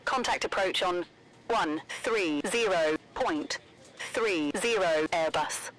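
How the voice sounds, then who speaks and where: A woman plays a pilot on air traffic radio in a game. She speaks slowly in a lowish voice. Her callsigns and readbacks come in clipped, procedural chunks. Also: fairly bright tone, soft background music, poor audio.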